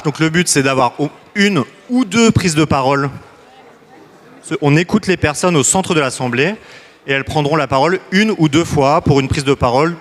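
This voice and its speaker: Joking male assembly facilitator. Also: on the high side. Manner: loose, joking, many restarts. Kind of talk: hosting a citizens' assembly.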